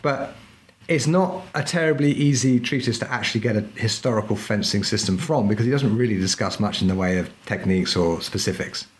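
A man's voice: fairly low pitch, fairly quick, a little hiss or hum. Chatty, emphatic man as podcast guest. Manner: chatty and emphatic, often tags "right?". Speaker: podcast guest, historical fencing instructor. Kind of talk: casual interview chat.

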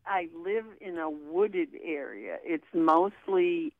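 A woman's voice: lowish voice, animated, slow pace, no room sound. A woman calling in by telephone, explaining casually and hesitantly, often correcting herself, over a tinny, narrow line. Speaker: phone-in caller.

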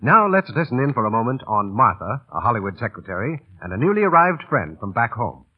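A male old-time radio announcer reads scripted links at a quick clip. His voice is very husky with animated intonation, on a slightly dull vintage recording. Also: medium pitch.

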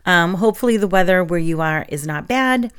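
A young woman with a slightly lowered voice talks in a friendly, chatty way, mixing in fillers and soft laughs. She is getting over a sore throat, so her voice sounds a touch strained. She is from New England. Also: lively intonation, fairly quick, clear, resonant tone. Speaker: podcast host. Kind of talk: casual solo podcast talk and reading.

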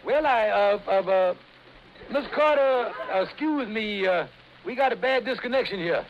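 A high-pitched, evasive schemer from an old radio comedy, stammering and folksy. His grammar is loose and his manner flustered, on a dull recording thick with hiss and clicks. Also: animated, moderate pace. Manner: stammering, folksy, ungrammatical talk.

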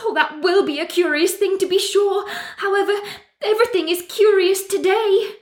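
A woman's voice: extremely high pitch, normal speed. A woman voicing a polite, curious young girl who muses in a prim, well-mannered way. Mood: musing. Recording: echoey reverberant room.

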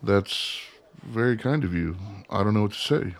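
A guarded, awkward traveler in a radio drama. His voice is lowish with a creaky edge, and he talks in terse, hesitant phrases. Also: lively intonation, moderate pace. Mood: awkward, impressed. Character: awkward, smitten, guarded man traveling alone. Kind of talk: radio play acting.

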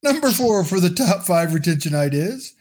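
A co-host on a casual podcast, making slow wry asides. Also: mid-range voice, big pitch swings, darkish tone, husky. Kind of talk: casual joking chat.

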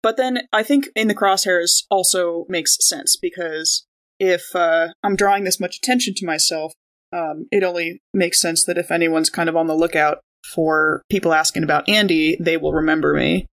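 A woman's voice: fairly low pitch, moderate pace, a bit bright. Casual woman playing a tabletop game. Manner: informal, thinking aloud. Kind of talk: casual improvised tabletop role-play chat.

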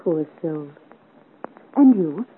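An actress playing a gracious, gently curious young noblewoman in a 1950s radio serial, with refined, formal period diction. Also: low voice, animated, very slow, exaggerated voice. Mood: sympathetic. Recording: muffled, hissy old radio serial recording.

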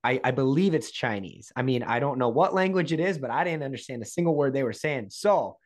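A man's voice: mid-range voice, animated, a little fast. A man hosting an interview, leading into his questions with long chatty asides. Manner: chatty, rambling lead-ins to questions. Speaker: podcast host.

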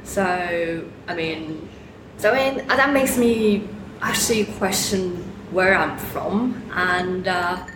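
A woman from China with a mixed American, Chinese and British accent, talking haltingly in a casual group discussion. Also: fairly low pitch. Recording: echoey room, clear background noise.

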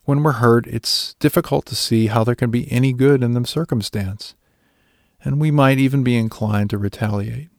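Male devotional speaker, gravelly mid-pitched voice, scripted reflection, dry recording. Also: normal speed, darkish tone, no room sound.

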